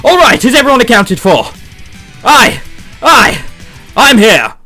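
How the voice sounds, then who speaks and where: A man voicing an overdramatic schoolboy rallying his friends like soldiers, loud and urgent, in a visual novel. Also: very high voice, big pitch swings, measured pace, faint music behind, voice pushed up, full character voice. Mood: urgent battle cry.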